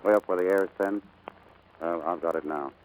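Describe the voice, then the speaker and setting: A man acting a brash, lovestruck American navigator in 1940s radio drama style, brisk, cocky and insistent. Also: sits low, fairly even intonation, a little hiss or hum, natural voice, rough recording. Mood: flirtatious.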